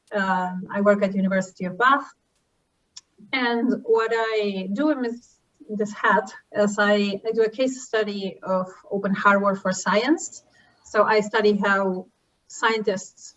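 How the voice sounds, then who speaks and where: A woman from Argentina, a social science researcher, introducing herself at a conference in a relaxed way with fillers, over a video call. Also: medium pitch. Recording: distant, dull-sounding call audio.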